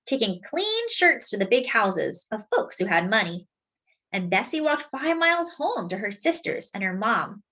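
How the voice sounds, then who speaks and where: A woman reading a children's book aloud as a storyteller, with expressive narration, deliberate phrasing and drawn-out words for emphasis. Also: fairly high pitch.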